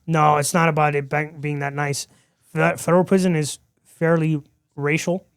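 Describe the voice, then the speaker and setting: A man with a smooth, clear voice, a touch higher than most men, tells his story as a podcast guest and acts out the lines he quotes. He is from Miami. The sound is dry and close, with no room echo. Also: moderate pace. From Miami, Florida. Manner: animated storyteller who acts out quoted dialogue. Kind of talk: storytelling in a casual interview.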